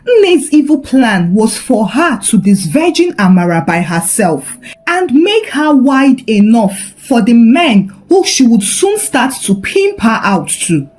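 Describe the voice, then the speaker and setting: High-voiced woman telling a dramatic folktale. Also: sing-song, takes it slowly, darkish tone. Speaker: narrator. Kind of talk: scripted folktale storytelling narration.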